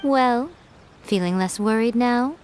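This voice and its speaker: A sly, high-voiced fox spirit lady. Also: background noise. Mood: knowing. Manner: teasing, knowing, unhurried. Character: sly, self-assured fox spirit shrine maiden woman. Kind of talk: English video game voice acting.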